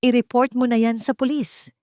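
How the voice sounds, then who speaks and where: A woman's voice: a little high, little pitch movement, moderate pace, a little mellow, no echo, voice pushed up, lightly acted. A woman storyteller puts on a protective female friend in an audio drama. She is anxious and urgent, full of concern. The recording is very dry. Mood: alarmed, urging.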